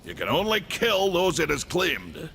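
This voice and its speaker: An actor playing a weary old male warrior who guards a gate, gruff, rustic and wry, with game music behind him. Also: on the high side, wildly swooping pitch, a little slow, sharp tone, slight background noise, heavily acted. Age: older.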